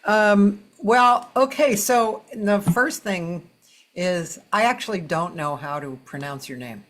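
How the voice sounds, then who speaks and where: A woman hosting a casual online chat, unhurried and chatty, laughing easily. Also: medium pitch. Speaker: host.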